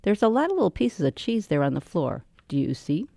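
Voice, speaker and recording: A woman acts out a lonely, eccentric old widow for a radio play. Her voice is creaky and soft-edged, rising and falling freely as she chats with genteel, hospitable politeness. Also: lowish voice, big pitch swings, fairly quick, no echo, clean studio sound, exaggerated voice. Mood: hospitable, chatty. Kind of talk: radio drama acting.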